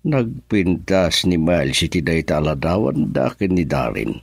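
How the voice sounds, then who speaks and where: A man playing a calm, reassuring older father in a radio drama, gravelly, with a Filipino accent. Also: sits low, moderate pace, no room sound, studio quality, heavily acted. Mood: thoughtful. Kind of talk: Ilocano radio drama acting.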